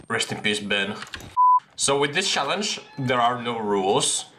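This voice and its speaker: A young man in a headset commenting casually on a game he is playing, excitable and slangy, laughing often. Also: medium pitch, slow, heavy background noise. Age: young adult. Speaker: gaming streamer. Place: small room at a desk.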